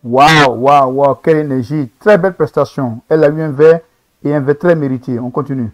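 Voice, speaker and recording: A man's voice: fairly high pitch, fairly quick, faint music behind. A man hosting a reaction video, commenting on gospel singers with enthusiastic, preacherly remarks. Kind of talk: casual commentary on a talent show.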